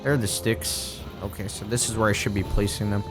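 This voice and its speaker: A man's voice: fairly low pitch, fairly even intonation, brisk, over music. Chatty man getting over a cold. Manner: chatty, rambling, self-correcting. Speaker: let's play gamer. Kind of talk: casual gameplay commentary.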